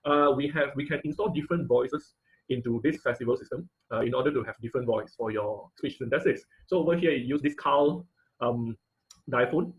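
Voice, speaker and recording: A man teaching an online class in an informal, halting tutorial style, often checking in with listeners. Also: medium pitch, dull audio. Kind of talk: informal tutorial with live demonstration. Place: online video call class.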